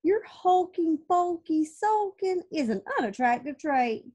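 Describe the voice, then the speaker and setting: A woman's voice: very high-pitched, animated, unhurried, warm tone, pitched above the performer's own voice. A woman doing a blunt, frank male octopus.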